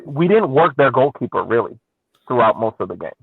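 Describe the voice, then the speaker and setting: A man on a sports podcast panel giving casual analysis, haltingly searching for his words, over a thin connection. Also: mid-range voice, sing-song, gravelly, breathy edge, no echo. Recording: tinny phone-like connection, dry.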